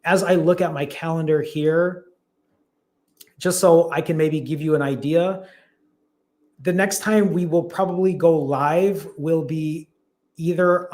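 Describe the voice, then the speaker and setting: A man hosts a live stream as a fasting coach. His voice sits a little high, and he chats casually and encourages his viewers directly as he answers their comments. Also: a little mellow. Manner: talks straight to viewers, upbeat and encouraging.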